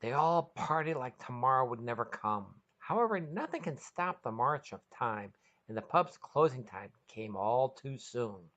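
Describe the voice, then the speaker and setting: A woman with a very deep voice narrates a short story as podcast host. Her pitch moves in a lively way, and the recording is slightly dull and muffled. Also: a little slow.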